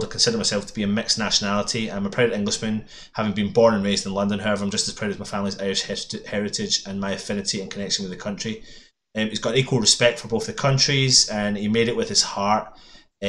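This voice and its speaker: A man's voice: on the low side, sharp tone. A Scottish man hosting a casual football podcast, chatty and summarising a statement aloud. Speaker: podcast host.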